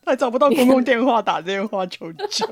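A woman's voice: a little high, very animated, a little fast, no room sound, faint music behind. A woman with a Taiwanese Mandarin accent chatting casually on a podcast in a wry, joking manner. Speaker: podcast host and author.

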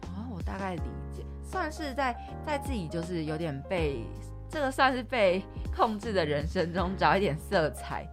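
A woman's voice: mid-range voice, very animated, normal speed, few pauses. A woman co-hosting a podcast in casual discussion. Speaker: podcast co-host. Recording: background music under speech.

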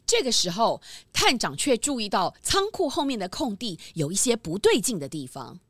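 A woman's voice: medium pitch, sing-song, normal speed, fairly bright tone, no room sound, clean studio sound. A woman narrator telling a children's detective story in a dramatic, scripted style.

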